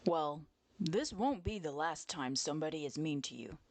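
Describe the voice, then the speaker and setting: A woman's voice: deep voice, animated, normal speed. A woman voicing a stern, protective father bear in a children's storybook, speaking in a firm, instructive way. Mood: serious advice.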